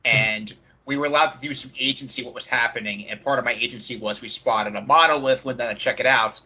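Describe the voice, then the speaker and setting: A man's voice: medium pitch, fast, pushed and bright, husky, lo-fi sound. A man talking casually about tabletop gaming as a podcast host.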